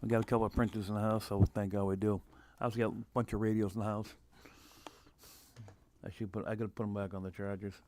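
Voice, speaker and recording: A man from New England hosting a casual, unscripted livestream, halting and repeating himself as he thinks aloud. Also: on the low side, level tone, a little mellow. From New England, United States. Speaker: livestream host, radio scanner hobbyist. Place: room at home. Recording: noticeable background noise, home livestream.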